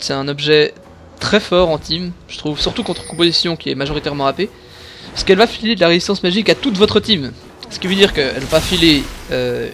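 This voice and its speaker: A man commentating his own gameplay live, excitable and coaching the viewers on items. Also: fairly high pitch. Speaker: gamer explaining his play. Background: game music.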